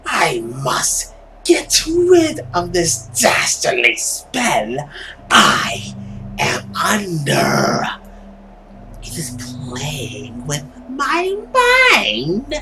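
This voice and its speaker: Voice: mid-range voice, huge pitch swings, background noise, heavily acted. Swooping, melodramatic villainous love creature. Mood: vengeful, brooding. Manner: melodramatic, drawn-out delivery with swoops and whispers. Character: cruel, theatrical vampiric love creature, haughty and vengeful villain. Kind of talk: dramatic visual novel character reading.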